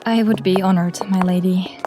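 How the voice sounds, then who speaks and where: A woman's voice: lowish voice, steady tone, normal speed, natural voice. Woman acting polite, guarded woman amid music. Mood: guarded, courteous. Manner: polite, formal, hesitant. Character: courteous, guarded young woman posing as company representative. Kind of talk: audio drama acting. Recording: music and crowd murmur behind.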